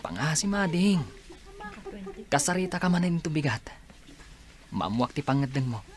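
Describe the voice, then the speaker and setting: A man's voice: fairly high pitch, big pitch swings, moderate pace. A man playing a friendly young student athlete in a radio drama, pleading and coaxing as he talks.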